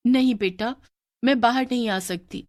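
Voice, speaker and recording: A woman's voice: fairly high pitch, measured pace, no room sound, studio quality, voice pushed up, lightly acted. Woman voicing a sweet-talking witch. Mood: coaxing. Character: long-armed female witch posing as snack seller.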